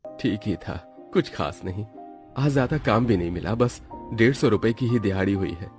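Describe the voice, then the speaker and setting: A man's voice: fairly low pitch, normal speed, darkish tone, close to an everyday voice. A man voicing a humble, tired, kindly day-labourer father in a cartoon moral story, speaking plainly and earnestly. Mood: weary. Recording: background music under the voice.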